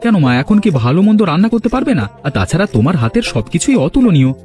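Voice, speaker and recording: Animated, rapid-talking young village son voiced by a man. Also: high voice. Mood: teasing, cheerful. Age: young adult. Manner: animated, questioning, reassuring. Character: devoted young son, home from studies, protective. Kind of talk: Bengali fairy-tale cartoon dubbing. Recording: music behind the voice.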